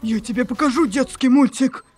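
A woman voicing a high, indignant knight hero. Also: moderate pace, over music, lightly acted. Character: brash young male hero knight. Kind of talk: amateur voice-over of a visual novel.

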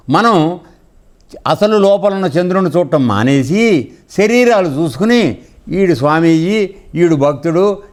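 A male spiritual teacher speaks in a somewhat high voice. He explains teachings conversationally, as in a discourse with parables, and puts frequent questions to his listeners to check they understand.

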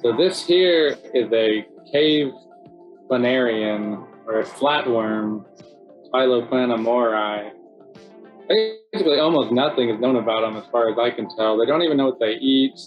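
A middle-aged man talks through a nature slideshow in a loose, easygoing way, dropping casual fillers between facts. His voice sits at a medium pitch and comes over a home video call. Faint music plays softly underneath. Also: measured pace, highs cut off. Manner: relaxed, chatty, many fillers like "so yeah" and "um". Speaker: city cave biologist presenting. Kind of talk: casual narrated slideshow talk.

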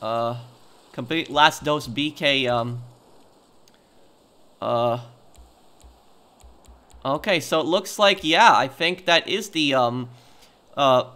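A man giving casual gameplay commentary in a hesitant, halting way full of ums. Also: mid-range voice, pushed and bright. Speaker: gamer, video host.

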